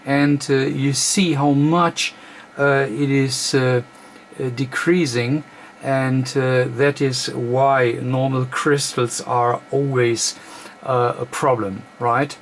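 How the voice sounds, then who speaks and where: A man, non-native in English, hosts a hands-on electronics demonstration. He talks slowly at a middle pitch, breaking sentences into short, hesitant pieces. Some steady background noise sits under his voice. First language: not English. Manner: halting, hesitant phrasing in short broken chunks. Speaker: host of electronics repair demonstrations. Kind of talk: hands-on technical demonstration, unscripted. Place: indoor electronics workbench.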